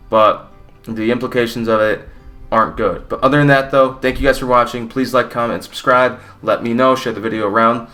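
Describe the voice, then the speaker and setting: A man's voice: mid-range voice, fairly bright tone. A young man from Mexico giving a blunt, rambling, opinionated fight recap with casual swearing. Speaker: combat sports YouTuber.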